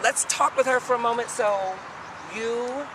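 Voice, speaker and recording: A woman's voice: a little high, a little slow. A woman hosting a street interview outdoors in a city, chatty and upbeat, joking about herself. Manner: chatty, upbeat, self-deprecating. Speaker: host. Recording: distant mic with street noise.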